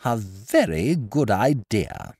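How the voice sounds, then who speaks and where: A man doing a brisk, decisive schoolmistress. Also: on the low side, big pitch swings, darkish tone, dry recording, clean studio sound, pitched above the performer's own voice, exaggerated voice. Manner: crisp, decided delivery.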